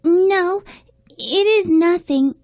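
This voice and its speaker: A woman's voice: extremely high pitch, drawn-out words, darkish tone, no room sound, exaggerated voice. A woman playing a young, spoiled and squeamish princess in a storybook, with petulant, theatrical delivery. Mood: disdainful. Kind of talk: storybook voice acting.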